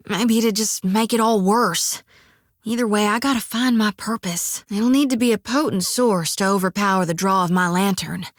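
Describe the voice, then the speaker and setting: An actress voicing a devout, fiery young priestess in a video game, with a folksy drawl and country sayings, recorded on a dry studio mic. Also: female voice, mid-range voice, moderate pace, no echo, close to an everyday voice. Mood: earnest. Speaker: game companion character.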